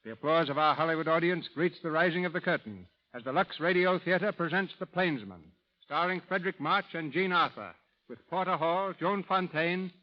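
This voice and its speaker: A man's voice: a little high, brisk. A man narrating a 1930s radio program as its announcer in a formal, theatrical scripted style. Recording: muffled vintage 1930s radio broadcast. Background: music.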